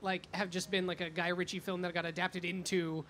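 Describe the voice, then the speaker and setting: A man's voice: sits high, little pitch movement, a little fast, a bit bright. A man interviewing casually in fan-like chat, with informal phrasing full of filler "like". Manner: informal, peppers speech with "like". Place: fan convention event. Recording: noisy live event with background ambience.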